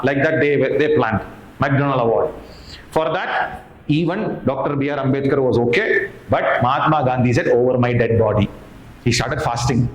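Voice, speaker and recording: A middle-aged Indian-accented man giving an informal exam-analysis lecture as a history teacher, emphatically repeating phrases and asking questions he answers himself. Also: mid-range voice. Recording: roomy echo, clear background noise.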